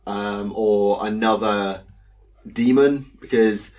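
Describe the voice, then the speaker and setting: A young man co-hosting a casual podcast, talking haltingly with plenty of ums and uhs. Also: on the low side, steady tone, a little mellow. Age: young adult. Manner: hesitant, lots of ums and uhs. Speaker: podcast co-host. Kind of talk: casual chat.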